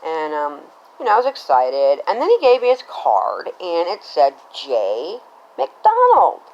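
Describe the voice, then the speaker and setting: A woman's voice: fairly low pitch, wildly swooping pitch, a little slow, darkish tone, dull audio. A middle-aged American woman telling a personal story casually, in a rambling, conversational way, often checking in with the listener. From Cincinnati, Ohio, United States.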